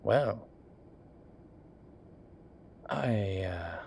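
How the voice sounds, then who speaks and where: A man voicing a flirtatious demon professor who keeps up a genteel, professional front, speaking softly and often in a whisper. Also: lowish voice, lively intonation, gravelly, a little hiss or hum, exaggerated voice. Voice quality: soft, often whispered, unhurried. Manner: teasing, measured, genteel. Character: flirtatious male demon professor, composed, teasing. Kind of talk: audio roleplay.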